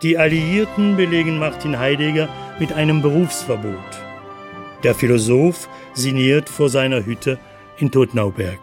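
A man narrates a documentary in a measured, factual way. His voice sits slightly high, and faint music plays underneath.

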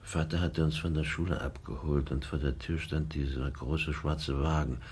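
An actor playing a brooding, sardonic middle-aged man in a radio drama, telling his memories and sinking into hushed tones. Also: rumbling low, fairly even intonation, normal speed, slightly rough, heavily acted. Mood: haunted, remembering.

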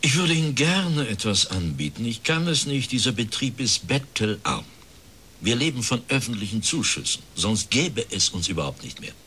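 A man's voice: mid-range voice, sing-song, normal speed, bright, forceful tone, a little hiss or hum. An actor playing a resigned factory director in his fifties, speaking formally and plaintively in a radio drama. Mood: earnest, explaining. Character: bitter, resigned plump factory director of fifty-one.

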